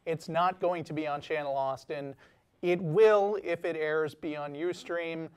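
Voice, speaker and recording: Smooth-voiced male talk show host. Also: a little high, unhurried, darkish tone. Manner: plain, conversational announcements. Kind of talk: call-in show hosting.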